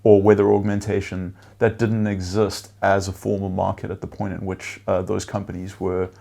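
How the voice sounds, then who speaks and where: A man whose first language is Afrikaans, giving measured interview answers as a venture investor, with frequent fillers and listed points. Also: sits low, flat delivery, vocal fry, dark tone.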